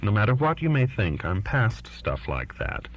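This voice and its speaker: A man's voice: lowish voice, huge pitch swings, normal speed, heavily acted. A man reading as an earnest, defiant twenty-year-old daughter writing to her father, pleading and insistent, on a lo-fi recording. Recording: dull lo-fi recording.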